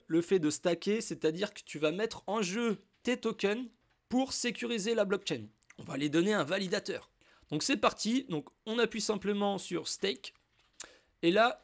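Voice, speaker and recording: A man's voice: sits high, vocal fry. A French man with a slight cold, explaining a crypto wallet in a casual, chatty screen-share tutorial, talking straight to the viewer. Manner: informal, addresses viewer as "tu", chatty asides. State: has a cold. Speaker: crypto YouTuber.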